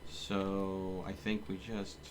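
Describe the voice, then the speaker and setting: A man with a fairly low voice streams a video game live. He thinks out loud in a casual way and chats to his viewers as he goes. There is clear noise in the recording behind him. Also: monotone, slow. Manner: thinks aloud, talking to viewers.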